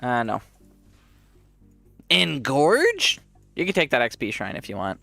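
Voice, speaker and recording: A man's voice: medium pitch, huge pitch swings, a little slow, creaky, sharp tone, dry recording, soft background music. A man with a congested voice, giving rambling casual commentary while he plays a game and laughs often. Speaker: streamer.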